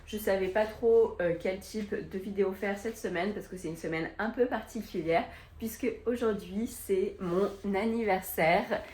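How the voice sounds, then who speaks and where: A woman's voice: mid-range voice, moderate pace, darkish tone, reverberant. Chatty woman in her early thirties. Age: early 30s. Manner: chatty, upbeat, encouraging. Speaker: vlogger. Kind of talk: casual vlog talk to camera. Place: home kitchen.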